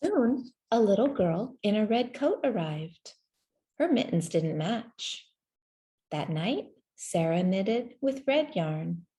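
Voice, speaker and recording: A woman narrates a children's picture book aloud. Her voice is mellow and relaxed, sitting at an average pitch. She takes her time, measuring out each phrase gently and leaving deliberate pauses. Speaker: storybook reader. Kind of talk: scripted children's story narration, read aloud.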